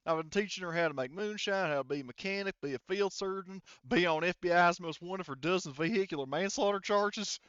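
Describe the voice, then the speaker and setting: Male player as boisterous Texan hillbilly mechanic for a tabletop game; folksy, proud, raised pitch, clean delivery. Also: a little fast, few pauses, fairly bright tone, smooth, dry recording, muffled recording. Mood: proud, boastful. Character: boisterous hillbilly mechanic from rural Texas, mangles phrases, proud.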